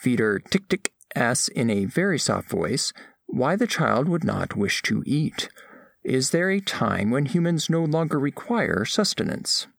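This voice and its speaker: A man plays a studious alien envoy giving a mission report in an audio drama. The diction is formal and exact, with a mid-range pitch that moves expressively. The sound is dry, with very little room. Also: sing-song, vocal fry, fairly bright tone, no echo, heavily acted. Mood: observant, curious. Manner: formal, precise, deliberate diction. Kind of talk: scripted first-person narration, audio fiction.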